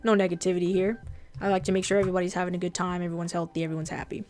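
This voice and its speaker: A woman whose first language is Japanese, with a fairly deep voice, chatting casually and bluntly on a drawing livestream, swearing freely. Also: level tone, very fast, a little mellow, faint music behind. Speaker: streamer and artist.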